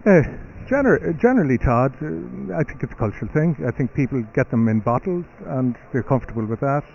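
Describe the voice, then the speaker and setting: A man's voice: medium pitch, expressive, a little fast, gravelly, darkish tone, lo-fi sound, a little hiss or hum. A middle-aged Irish man talking as a guest on a live radio interview, often restarting words and using "you know". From Ireland. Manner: repeats words, many "you know" fillers. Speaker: company CEO guest.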